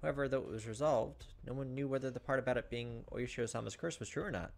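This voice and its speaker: A man's voice: medium pitch, fast, creaky. A man, reading visual novel text aloud as a gaming host, with casual asides. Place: indoors, recording at a computer. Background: faint game music.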